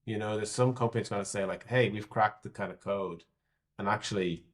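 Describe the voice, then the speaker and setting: A man with an Irish accent speaks in a fairly low voice. He answers as a tech executive in a podcast interview, conversational and candid, reasoning out loud and qualifying what he predicts. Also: a little fast. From Ireland. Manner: candid, thinking aloud, hedging his predictions.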